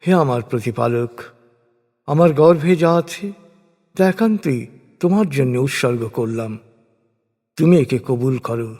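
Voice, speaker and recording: A man reading scripture in a solemn, reverent scripted narration, pausing deliberately between phrases. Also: fairly high pitch, darkish tone. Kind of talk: solemn scripted religious recitation in prose. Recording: long reverberant hall-like echo.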